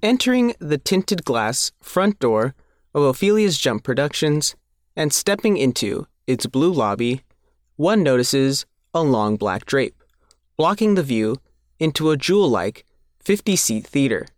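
A man's voice: a little high, big pitch swings, measured pace, no room sound, clean studio sound. A man reading a scripted newspaper feature aloud as the reporter. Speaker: reporter reading their own article.

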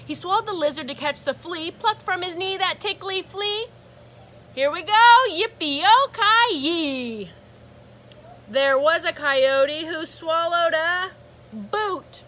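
A woman reading a rhythmic picture book aloud to children like a teacher, animated and sing-song, with asides to her listeners. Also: very high voice. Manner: animated, sing-song, drawn-out exclamations and gasps; engaging listeners with asides and prompts. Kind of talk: rhythmic storybook read-aloud.

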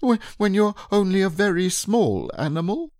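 A man voicing a small, timid young male piglet who speaks in a high, hesitant, stammering way in an audiobook reading. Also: very animated, normal speed, a little mellow, dry recording, studio quality.

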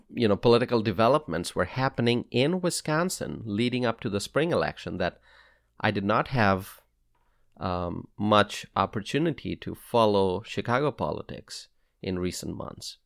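Bangladeshi guy doing solo politics podcast, creaky voice, very dry close sound, emphatic and deliberate. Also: lowish voice, no echo. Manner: deliberate, emphatic, repeats phrases for weight.

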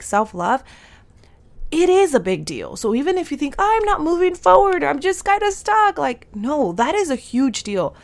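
Bubbly woman, a bit nasal and raspy. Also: on the high side. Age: 30s. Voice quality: slightly nasal and raspy today. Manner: bubbly, affirming, with slang and laughs. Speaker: podcast host and soul mentor. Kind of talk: upbeat solo podcast talk.

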